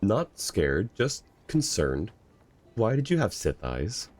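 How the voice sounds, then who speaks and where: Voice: sits low, sing-song, slow, background noise. An easygoing livestreamer chatting casually while reacting to a game and viewers. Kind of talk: casual game stream chat.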